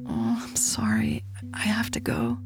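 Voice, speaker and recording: A woman voicing a tender, secretive woman in an audiobook, speaking softly and breathily in a gentle, apologetic, pleading manner. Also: mid-range voice, moderate pace, faint music behind, voice pushed up, close to an everyday voice. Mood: tender, hushed. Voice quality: soft, breathy, half-whispered. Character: Elle, tender secretive woman leaving her lover at night. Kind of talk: audiobook character dialogue.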